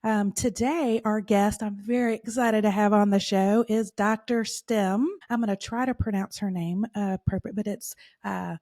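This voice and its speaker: A woman's voice: medium pitch, fairly even intonation, darkish tone. A woman hosting a podcast, opening with a scripted intro and then chatting with an upbeat, encouraging manner. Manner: upbeat and encouraging, quick laughs. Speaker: podcast host.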